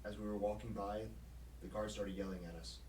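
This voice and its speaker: A man's voice: lowish voice, flat delivery, brisk, darkish tone, lightly acted. Man acting an earnest young activist, echoey recording. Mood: earnest, recounting. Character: earnest, proud young student activist and warrior. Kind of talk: audio drama acting. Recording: echoey, distant, faint background noise.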